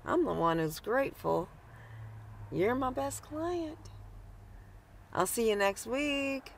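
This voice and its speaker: A woman playing a scheming, greedy fake psychic in an audio drama, with a syrupy, drawn-out delivery. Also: on the high side, animated, gravelly, slight background noise, exaggerated voice. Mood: falsely sweet. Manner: syrupy, drawn-out delivery full of knowing pauses.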